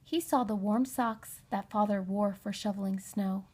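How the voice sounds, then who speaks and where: A woman narrating a children's storybook in an expressive read-aloud style. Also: medium pitch, moderate pace, slightly rough. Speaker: storybook reader.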